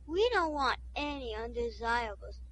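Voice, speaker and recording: A woman's voice: a little high, animated, normal speed, a bit bright. A woman voicing a snooty society lady with a put-on posh English accent, haughty and prim, who turns crude when angry. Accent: put-on posh English, then dropped. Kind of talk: comedy sketch acting.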